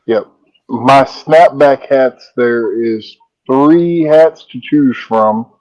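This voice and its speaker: A man joining a livestream video chat as a fellow streamer, making quick offhand remarks. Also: mid-range voice, darkish tone, lo-fi sound.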